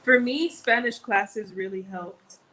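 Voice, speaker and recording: A woman's voice: medium pitch, takes it slowly, bright, forceful tone, rough recording. An American woman chatting casually as a guest on a live stream. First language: English. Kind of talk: casual chat. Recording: clear background noise on livestream audio.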